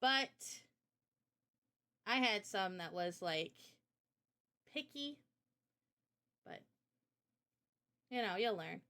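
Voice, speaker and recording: A woman's voice: medium pitch, animated, very slow, pauses often, pushed and bright. A woman chatting casually on a livestream Q&A in a giggly, informal way, as the host. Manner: giggly, laughs often while talking. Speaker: host and visual novel developer.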